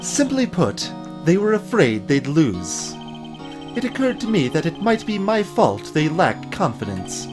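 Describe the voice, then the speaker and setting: A man's voice: fairly high pitch, wildly swooping pitch, unhurried, music in the background. A man voicing a proud, bitter male attorney for a video game, haughty, clipped and sardonic.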